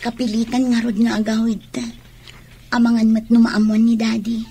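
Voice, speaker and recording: High-voiced, coaxing young female student. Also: level tone, normal speed, warm tone, breathy edge. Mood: casual, secretive. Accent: Ilocano. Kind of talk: Filipino radio drama acting.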